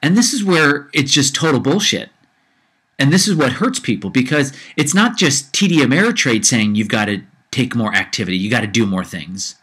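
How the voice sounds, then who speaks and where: A man's voice: medium pitch, very animated, moderate pace, a bit bright, slightly rough. A man hosting his own podcast, ranting with rising heat and a slight cold in his voice, often repeating himself. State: low-grade cold. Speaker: podcast host. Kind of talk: casual solo podcast rant.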